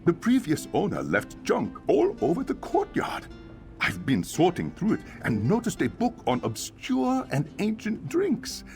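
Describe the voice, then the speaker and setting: An actor playing a fussy, exasperated innkeeper in a fantasy video game, with a grumbling, theatrical delivery. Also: male voice, high voice, huge pitch swings, a little slow, exaggerated voice. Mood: puzzled, hopeful. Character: fussy, exasperated male innkeeper eager to help. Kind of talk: scripted video game character acting. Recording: music behind, plucked guitar.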